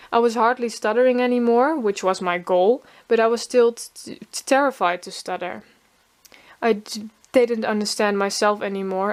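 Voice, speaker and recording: A teenage girl narrating her own story, stuttering often on first sounds of words. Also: medium pitch. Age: teens. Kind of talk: pre-recorded personal narration.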